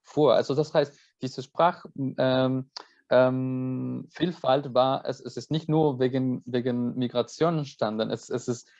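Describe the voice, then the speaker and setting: A man's voice: mid-range voice, fairly even intonation, unhurried, creaky, a little mellow, highs cut off. Hesitant male festival host. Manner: hesitant, many fillers and restarts, switches languages. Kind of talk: casual moderated talk.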